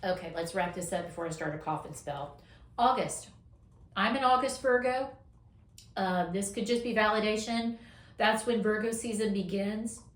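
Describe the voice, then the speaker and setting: A woman doing a casual weekly tarot reading, encouraging and affirming, her voice going hoarse as she talks. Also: medium pitch, echoey room. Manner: encouraging, affirming, drawn-out emphasis. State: losing her voice, coughing. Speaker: tarot reader.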